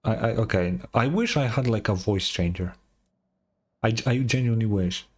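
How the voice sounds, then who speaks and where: Low-voiced man casually streaming a game. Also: normal speed. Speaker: streamer voicing game dialogue. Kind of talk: casual gameplay commentary.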